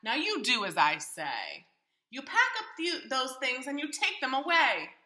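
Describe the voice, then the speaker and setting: An earnest storybook boy standing up to mischief, played by a woman. The voice is determined and emphatic, high and very bright, in an echoey room. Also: wildly swooping pitch, unhurried, full character voice. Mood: commanding.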